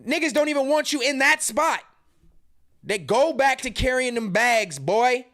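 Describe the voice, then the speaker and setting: A man's voice: very high voice, a little slow, fairly bright tone. A man in his thirties hosting a podcast, giving heated, profane commentary as he reacts to a stream clip and mocks the people in it. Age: 30s. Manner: profane, mocking, confrontational. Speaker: podcast host.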